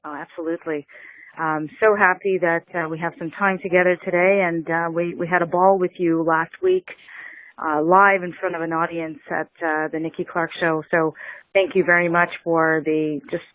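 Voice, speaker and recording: A woman's voice: on the low side, little pitch movement, moderate pace. A woman hosts a radio show and greets her guest with enthusiastic energy, often restarting her sentences. Her voice comes through a tinny, phone-like line. The sound is dry, with no room echo. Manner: enthusiastic, many fillers and restarts. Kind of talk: radio interview hosting.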